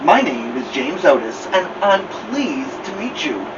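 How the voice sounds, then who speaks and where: A man's voice: fairly high pitch, very animated, unhurried, recorded from a distance, dull audio, heavy background noise. A man reading a storybook and voicing an earnest, cheery young boy who is eager to please.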